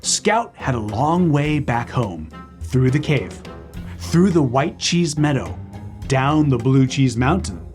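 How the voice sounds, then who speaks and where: A man co-hosting a children's read-aloud, narrating in a playful, expressive way. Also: mid-range voice, takes it slowly, soft background music.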